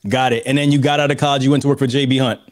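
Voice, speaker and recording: A man's voice: medium pitch, flat delivery, racing, no room sound. A man in his thirties hosting an interview over a video call, upbeat and prone to repeating himself. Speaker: podcast host. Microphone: webcam on a video call.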